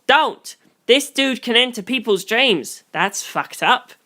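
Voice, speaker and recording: A reader voicing an excitable, blunt male investigator who warns a friend in emphatic, profane slang. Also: medium pitch, slow, pitched above the performer's own voice. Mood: alarmed, insistent.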